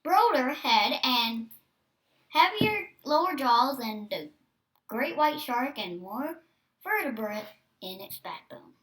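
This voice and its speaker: High, bright, animated nine-year-old child. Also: recorded from a distance, rough recording. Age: child, nine years old. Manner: halting on hard words. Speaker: host reading a book aloud. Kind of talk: read-aloud with casual asides.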